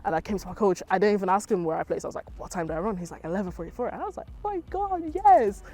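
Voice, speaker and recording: A young Irish woman, an athlete, chatting casually about her running, full of "like" and lively retellings. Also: medium pitch, racing, dry recording. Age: young adult. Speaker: athlete interviewee. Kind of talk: casual interview answers.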